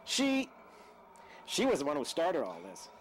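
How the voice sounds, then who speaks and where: A man's voice: a little high, wildly swooping pitch, unhurried. A man playing a nervous, sheepish lover caught out, hesitant and evasive, in an audio drama. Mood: defensive, nervous. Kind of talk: radio-play style dramatic acting. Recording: faint background noise.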